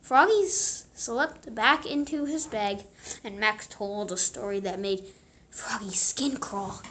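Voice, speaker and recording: A woman reading a children's storybook aloud with deliberate phrasing and punchy sound-effect words. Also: medium pitch, fairly bright tone.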